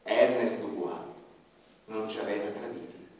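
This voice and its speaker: A man narrating a radio drama in the first person, grave and dramatic, often dropping to a hush. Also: fairly low pitch, measured pace, very echoey, in a large hall, recorded from a distance. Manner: grave, dramatic storytelling with sudden drops to a hush.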